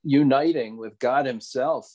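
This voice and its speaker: A man co-hosting a podcast in casual, reflective discussion, halting as he searches for words. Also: medium pitch, dark tone. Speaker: podcast co-host.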